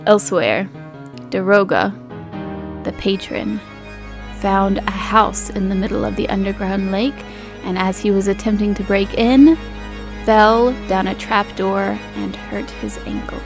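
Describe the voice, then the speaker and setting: A woman's voice: medium pitch, unhurried, close to an everyday voice. Woman playing a slangy cryptid podcast host, music behind. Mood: chatty, dramatic. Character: chatty storyteller cryptid podcast host named Gaston Leroux, boyish, theatrical. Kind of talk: scripted podcast recap narration.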